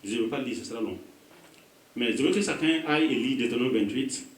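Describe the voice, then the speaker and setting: A man's voice: medium pitch, fast talker. A pastor with a West African French accent gives a call-and-response sermon, prompting the congregation to finish his phrases. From Burkina Faso. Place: church hall. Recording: echoey hall, voice from a distance.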